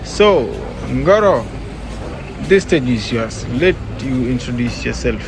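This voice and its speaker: A man's voice: mid-range voice, slow pace. A man, talking casually and loosely as he vlogs from a city street. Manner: relaxed, loosely phrased. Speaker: vlogger. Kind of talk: casual walking vlog. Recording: very noisy outdoor recording. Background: loud traffic.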